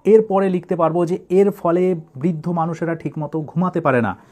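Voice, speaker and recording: A man's voice: on the high side, steady tone, normal speed, a little mellow. A man teaching English writing, dictating in short separated phrases and then explaining them.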